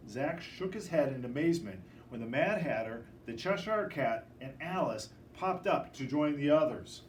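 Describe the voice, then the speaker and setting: Male picture-book reader, mid-pitched and very animated, theatrical delivery, faint noise behind. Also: moderate pace, roomy sound. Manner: expressive, dramatic storytelling. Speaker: storybook reader. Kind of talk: children's picture book read-aloud. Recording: distant microphone with faint background noise.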